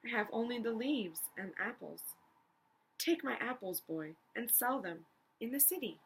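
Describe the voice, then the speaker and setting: A woman voicing a gentle, loving female tree in a storybook read-aloud, tender and giving. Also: on the high side, expressive, measured pace, husky, heavily acted, low-quality recording. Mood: warm, generous.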